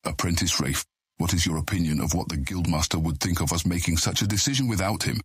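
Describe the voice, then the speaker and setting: A shrewd, commanding deputy of a thieves' guild. His booming voice is extremely low and heavily rasped. He speaks formally and deliberately in a dry studio, as in a fantasy audio drama. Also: no echo. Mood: measured, grave. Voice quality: booming, husky. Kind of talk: full-cast fantasy audiobook acting.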